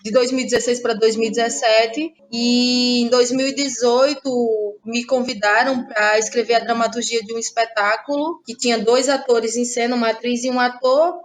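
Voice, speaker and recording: A woman from Brazil, reflectively telling about her own work as an interview guest. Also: on the high side. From Ceará, Brazil. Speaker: interviewed playwright and actress. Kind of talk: conversational personal account.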